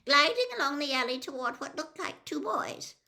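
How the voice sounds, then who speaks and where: A quavery, high, fluttering old lady, nervous and a little batty, as a woman narrator puts her on. Her pitch darts all over the place and the tone is bright. She rushes through rehearsed lines in a flustered gabble. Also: big pitch swings, exaggerated voice. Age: older.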